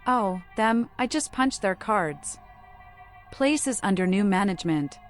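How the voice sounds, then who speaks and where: Game protagonist: mad vampire woman, female performer, mid-pitched, eerie and playful, speaks in short cryptic bursts. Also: expressive, a little slow, soft background music, close to an everyday voice. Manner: cryptic, terse, odd phrasing. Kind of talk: video game voice acting.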